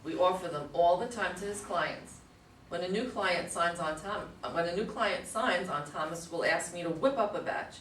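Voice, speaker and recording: A woman's voice: lowish voice, moderate pace, a bit bright, close to an everyday voice. A woman playing a chatty, devoted secretary who gushes about her boss, eager to please, in a staged play reading. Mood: gushing. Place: room at a live readers' theater reading. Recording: echoey room, distant mic, faint noise.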